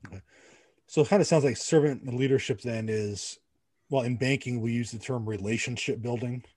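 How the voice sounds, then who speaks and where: A man's voice: medium pitch, moderate pace, a little mellow, no echo, studio quality. A man hosting an interview podcast, asking friendly, encouraging questions. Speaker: podcast host.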